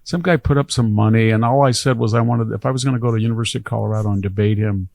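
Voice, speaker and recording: A man's voice: fairly low pitch, racing, gravelly, a little mellow, dry recording, studio quality. An older man, a historian, talking casually in a podcast, wandering from one anecdote to the next. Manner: rambling, anecdotal storytelling. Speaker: historian guest.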